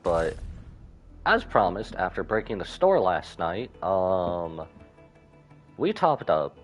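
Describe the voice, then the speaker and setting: A man doing casual live-stream game commentary, rambling and thinking aloud as he goes. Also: deep voice.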